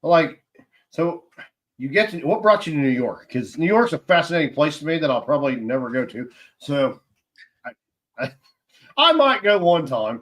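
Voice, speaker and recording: A man's voice: fairly high pitch, very animated, moderate pace, pauses often, fairly bright tone. A man hosting a podcast, laid-back and easy to laugh, chatting casually. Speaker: podcast host. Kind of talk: casual interview chat.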